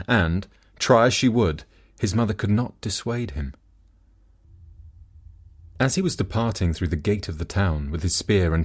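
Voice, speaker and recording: A man narrating a fable as an audiobook reader, in a scripted storytelling style. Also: lowish voice.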